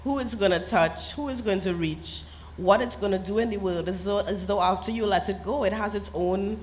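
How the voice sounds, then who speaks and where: A woman's voice: medium pitch, normal speed, a little hiss or hum. A woman novelist with a Trinidadian accent, talking reflectively and earnestly on a festival panel, laughing often and slipping into creole phrasing. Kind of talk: literary festival panel talk.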